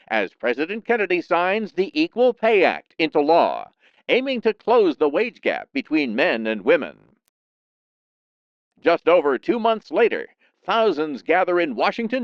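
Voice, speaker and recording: A man reads a scripted 1960s-style newsreel in a dramatic, measured announcer's delivery. His voice is somewhat high and very lively in pitch, with a resonant, clean tone. The sound is a little dull and band-limited. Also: dry recording. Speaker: newsreel narrator.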